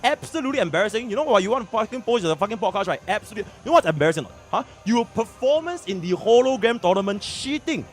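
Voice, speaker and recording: A man's voice: sits high, expressive, fast talker, hardly any pauses. A man with a Singaporean accent delivering a heated, profane, taunting rant as a streamer.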